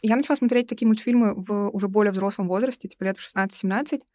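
A woman's voice: medium pitch, rapid-fire, mellow, no room sound, studio quality. A young woman co-hosting a podcast, explaining her views articulately in a casual discussion. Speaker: podcast co-host.

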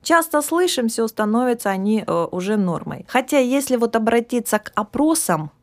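A middle-aged woman speaking as a philologist guest in a podcast interview, explaining at an even, measured pace and now and then losing her thread. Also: a little high. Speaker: philologist guest, department head.

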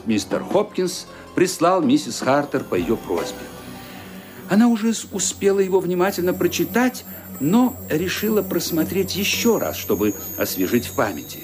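A man narrating a radio mystery play with suspenseful, deliberate phrasing and dramatic emphasis. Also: on the high side. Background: music.

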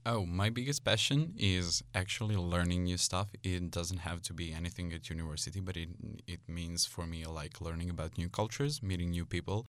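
A man's voice: low-pitched, moderate pace, hardly any pauses, a bit bright, no echo, studio quality. A man, an outgoing medical student answering as a guest. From Serbia. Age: 20s. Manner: outgoing, friendly.

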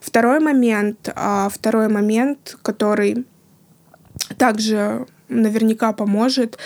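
Female podcast guest, flat delivery with vocal fry, slow and earnest, soft whispered trailing ends. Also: a little high. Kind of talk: reflective podcast conversation.

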